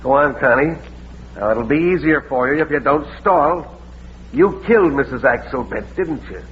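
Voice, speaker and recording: An actor playing a gruff, impatient police sergeant in a vintage radio crime drama, blunt and badgering. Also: male voice, mid-range voice, lively intonation, moderate pace, lightly acted. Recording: muffled, hissy vintage radio recording.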